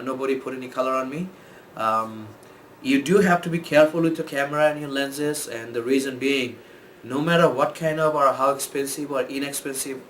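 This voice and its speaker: A man from Bangladesh, a photographer, giving casual tips in a relaxed, rambling way with many fillers and restarts. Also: mid-range voice, slight background noise. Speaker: photographer and host. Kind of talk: casual explainer talk.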